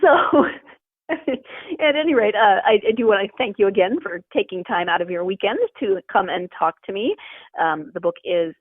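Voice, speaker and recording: A woman's voice: mid-range voice, moderate pace, husky. A woman podcast host chatting casually with her guest, laughing easily. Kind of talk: casual interview chat. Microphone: phone line. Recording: dry tinny phone line.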